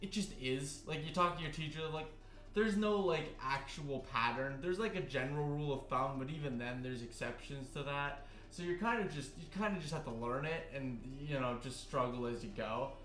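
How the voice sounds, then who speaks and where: A man's voice: medium pitch, moderate pace, a bit bright. Rambling young man in an echoey kitchen. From Canada. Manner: rambling, filler-heavy, many restarts. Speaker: cooking streamer. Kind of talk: casual live-stream chat while cooking. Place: home kitchen. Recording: roomy kitchen sound with faint music.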